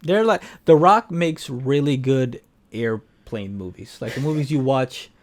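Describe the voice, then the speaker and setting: A man's voice: medium pitch, animated, unhurried. A man co-hosting a casual film and video game chat, speaking in an opinionated, blunt way. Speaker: podcast co-host reviewing films.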